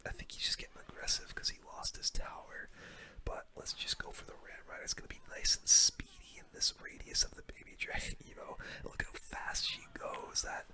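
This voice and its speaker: An ASMR gaming creator giving casual play-by-play commentary in a soft, breathy, unvoiced whisper, close to the microphone, with gamer slang. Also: extremely high pitch.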